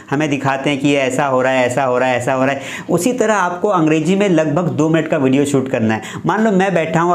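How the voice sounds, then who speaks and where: A man in his twenties with an Indian accent, teaching informally to camera in an instructive, explanatory way. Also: a little high. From Madhya Pradesh, India. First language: Hindi. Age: 20s. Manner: explanatory, instructive, with example sentences. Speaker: English teacher, host. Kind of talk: informal lesson to camera, mixing Hindi and English. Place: small furnished room at home. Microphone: clip-on lapel microphone. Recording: roomy indoor echo.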